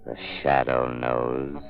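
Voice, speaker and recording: Ominous all-knowing male phantom, extremely deep and gravelly, tinny vintage radio sound. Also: heavily acted. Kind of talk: 1930s radio signature moral. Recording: tinny, phone-like old radio recording.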